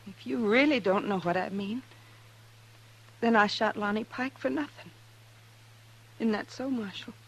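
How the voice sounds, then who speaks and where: An actress playing a resolute, long-suffering frontier wife in a 1950s radio western, earnest and pointed. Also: female voice, medium pitch, a little slow, pauses often, slightly rough. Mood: resolute, reproachful. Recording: noisy, humming old radio recording.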